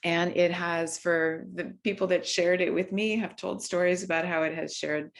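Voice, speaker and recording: Soft-spoken woman with a gravelly edge. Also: fairly low pitch, fairly even intonation. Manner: soft, unhurried, with frequent ums and restarts. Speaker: workshop facilitator. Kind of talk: gentle guided mindfulness talk.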